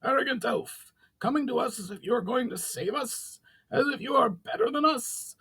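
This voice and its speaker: A man puts on a fat goblin mage for a fantasy storybook. The voice leaps far above a normal male pitch. It is shrill, indignant and accusing. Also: animated, normal speed, creaky, bright, forceful tone, slightly rough, exaggerated voice. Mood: outraged.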